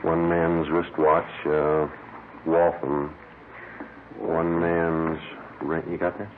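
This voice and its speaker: An actor playing a brisk, businesslike police detective sergeant in a 1950s radio crime drama, speaking in clipped, matter-of-fact phrases. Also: male voice, rumbling low, level tone, exaggerated voice. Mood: brisk, procedural. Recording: noisy, tinny old 1950s radio recording.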